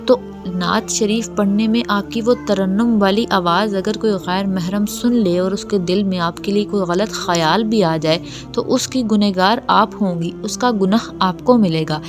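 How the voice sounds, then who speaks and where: A woman giving a religious explainer talk in a didactic, admonishing manner. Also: medium pitch. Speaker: religious lecturer. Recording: clear music behind the voice. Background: background music.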